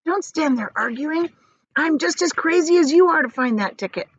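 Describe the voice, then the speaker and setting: A woman voicing an excitable, conspiratorial old grandfather in a storybook, with breathy whispering and eager, nervous urging. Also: high voice, moderate pace, no echo, highs cut off, pitched above the performer's own voice. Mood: excited, urging.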